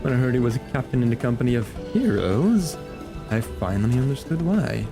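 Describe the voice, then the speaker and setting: A man's voice: medium pitch, expressive, brisk, over music, natural voice. A man voicing a boastful, shifty male axeman mercenary from a game, blustering and stammering. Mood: pleading, sheepish. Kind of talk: reading game dialogue aloud in character.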